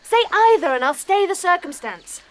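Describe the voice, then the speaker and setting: Impetuous lovestruck girl, high and swooping. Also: lively intonation, moderate pace, slight background noise. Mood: exasperated. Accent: British English. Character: Juliet, lovestruck impatient teenage girl, eager and impetuous. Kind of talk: classical Shakespearean audio drama acting.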